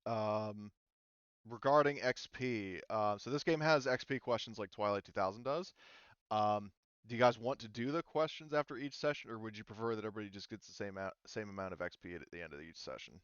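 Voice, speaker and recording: A low, smooth male voice in a very dry, slightly muffled recording. He is a game master talking his group through the rules, informally and haltingly, feeling for his words as he goes. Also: fairly bright tone, no room sound. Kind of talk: casual group discussion of tabletop game rules.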